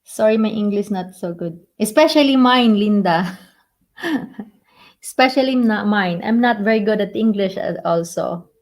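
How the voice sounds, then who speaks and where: A woman with a Filipino accent chats casually as a live stream host, taking her time. Her mid-pitched voice moves haltingly through simple second-language phrasing, often repeating herself. Filipino is her native tongue. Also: animated. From Philippines.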